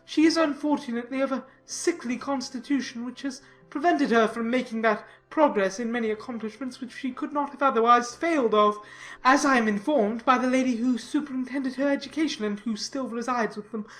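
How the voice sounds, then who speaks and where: A woman's voice: on the high side, a little fast, a bit bright, voice pushed up, natural voice. A woman voicing a pompous, self-important and obsequious clergyman in a dramatized classic novel, speaking in wordy, formal sentences. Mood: fawning, self-satisfied.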